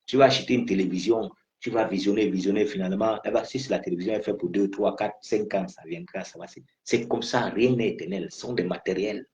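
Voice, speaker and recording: A man's voice: fairly low pitch, fast, hardly any pauses, a little mellow. A man from Cameroon, giving an impassioned political address and hammering the same phrases again and again. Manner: fervent, repetitive, hammering phrases. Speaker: political activist. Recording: muffled lo-fi recording.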